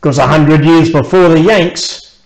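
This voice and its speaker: A man with an Australian accent narrating a rhymed children's story in an expressive storyteller's way. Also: fairly high pitch, unhurried, gravelly, rough recording. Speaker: author reading own children's book.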